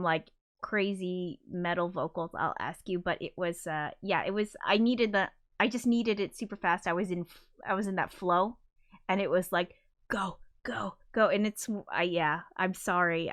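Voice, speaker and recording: A woman composer chatting casually on a livestream, reading viewer comments aloud; she has a high voice and rambles with frequent restarts and giggles. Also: fairly bright tone. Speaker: composer streaming live.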